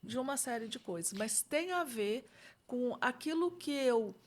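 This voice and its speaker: Brazilian woman explaining leadership, lively. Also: on the high side, unhurried. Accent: Brazilian Portuguese. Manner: reflective, uses frequent "né?" and "entendeu?". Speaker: guest leadership specialist. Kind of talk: conversational explanation in an interview.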